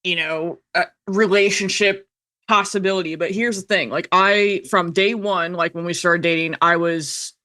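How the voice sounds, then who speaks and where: Bright-voiced American woman, chatty and candid. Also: lowish voice, fairly even intonation, normal speed. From United States. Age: 30s. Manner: candid, chatty, emphatic, self-quoting. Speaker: podcast guest, filmmaker. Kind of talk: casual personal storytelling in conversation.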